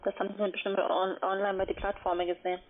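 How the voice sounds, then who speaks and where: A woman's voice: mid-range voice, level tone, quick pace. A woman making scripted phone sales calls as a call centre agent. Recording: noisy, tinny phone line. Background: background noise on the line.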